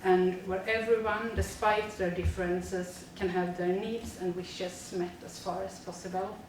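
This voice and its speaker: A woman's voice: on the low side, steady tone, measured pace, very echoey, recorded from a distance, slight background noise, low-quality recording. A woman, a teacher reading a prepared presentation steadily with frequent fillers. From Sweden. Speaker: teacher presenting a school project.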